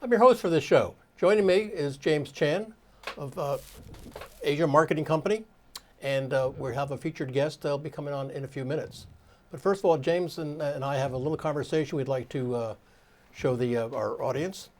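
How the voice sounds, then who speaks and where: Higher-voiced male talk show host. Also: normal speed, slight background noise. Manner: friendly, frequent backchannel "mm-hmm". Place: TV studio.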